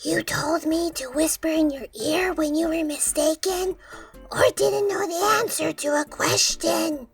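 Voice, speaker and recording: Very squeaky, anxious fact checker. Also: female voice, fairly even intonation, unhurried, vocal fry, far from the mic, soft background music, exaggerated voice. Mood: earnest. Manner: melodramatic, squeaky, hesitant. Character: anxious, over-emotional, eager female fact checker. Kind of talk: comedic audio drama acting.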